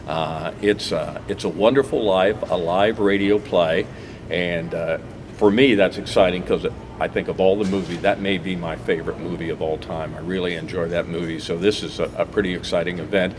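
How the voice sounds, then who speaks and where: A middle-aged man hosts a local talk show with a deep, low voice. He chats in a loose, easygoing way, full of fillers and restarts. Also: steady tone, normal speed, background noise. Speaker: host. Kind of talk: casual local TV chat.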